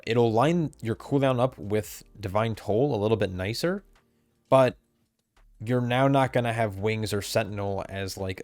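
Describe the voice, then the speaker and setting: Low-voiced man losing his voice. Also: darkish tone, no echo. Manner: casual, rambling, self-correcting. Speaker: game content creator. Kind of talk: recorded commentary on game changes. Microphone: desk mic, camera off.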